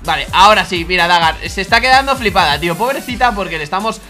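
Excitable young man from Spain, bright voice. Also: high voice. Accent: Spain Spanish. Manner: excitable, repeats phrases rapidly. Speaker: gaming YouTuber. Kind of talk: excitable gameplay commentary among friends. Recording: music playing behind the voice. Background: background music.

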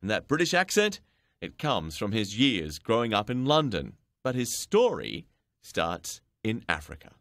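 Animated male documentary narrator. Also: lowish voice, a little slow, gravelly.

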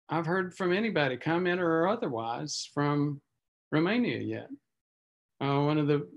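Man in his sixties, doctor host, fairly high voice, casual and self-deprecating, video call mic. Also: a bit bright. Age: 60s. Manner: conversational, self-deprecating, reads comments aloud. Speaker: physician host. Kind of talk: casual video update answering viewer comments. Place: home office on video call. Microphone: video call webcam mic.

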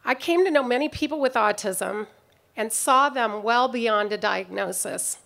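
A middle-aged woman giving a prepared commencement speech at an outdoor podium microphone, in a measured, reflective way. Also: medium pitch, vocal fry, a bit bright. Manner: measured, reflective delivery reading from notes. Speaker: commencement speaker. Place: outdoor ceremony stage.

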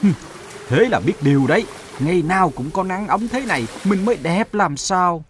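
A man's voice: high voice, expressive, moderate pace, slight background noise. A man voicing a vain elder-sister lake in a cartoon fable, with a theatrical delivery. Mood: haughty. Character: vain, haughty elder-sister lake, a female water spirit.

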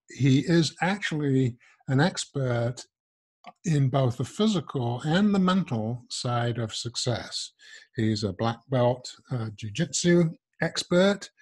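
A man's voice: mid-range voice, a little mellow, slightly rough. An older man hosting a podcast interview from his home study, friendly and lightly joking as he introduces his guest on a video call. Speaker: podcast host. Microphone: wired earbud mic on a video call.

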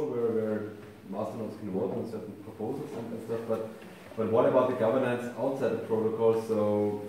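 A man's voice: mid-range voice, dark tone, a little hiss or hum. A man in the audience asking a question, repeating words as he frames it. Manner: searching, repeats words while framing a question. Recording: echoey room from far off.

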